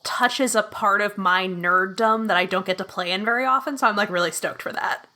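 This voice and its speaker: A woman's voice: mid-range voice, brisk, bright, forceful tone. A woman talking casually and enthusiastically as a guest in a podcast interview, in an informal way. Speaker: podcast guest, audio fiction producer.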